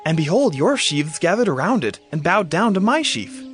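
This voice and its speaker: A man's voice: high-pitched, very animated, normal speed. An earnest young son and dreamer in a scripture drama. His declamatory delivery rises and falls animatedly over a music bed. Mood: excited wonder. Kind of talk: Bible audio drama acting.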